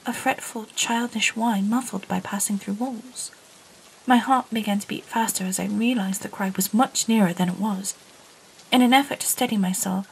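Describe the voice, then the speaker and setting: An actress playing a lonely, curious young girl who narrates her own story in a breathy, hushed way. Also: mid-range voice, slight background noise, close to an everyday voice, poor audio. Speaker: narrator and lead character. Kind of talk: audio drama narration.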